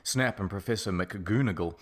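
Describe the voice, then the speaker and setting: A man's voice: lowish voice, normal speed. A man narrating a comic story in a mock-serious, theatrical way. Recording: music behind the voice. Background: music.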